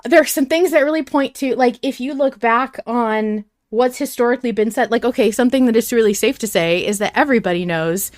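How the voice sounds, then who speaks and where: Excited lady podcast host, kinda high voice, gushy and emphatic, stumbles over her thoughts. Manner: gushing, emphatic, halting. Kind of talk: excited fan podcast chat.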